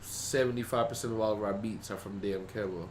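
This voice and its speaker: A man's voice: brisk, a little hiss or hum. A young man from Houston with a Southern accent, a rapper guest telling stories in a casual podcast interview. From Houston, Texas. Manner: animated storyteller, acts out quotes in a higher voice.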